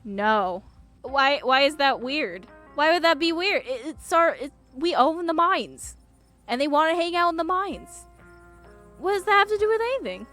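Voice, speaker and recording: High-voiced, giggly woman game streamer. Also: animated, moderate pace, sharp tone. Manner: giggly, joking. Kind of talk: playful live commentary while reading a visual novel aloud. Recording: game music behind voice. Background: game music.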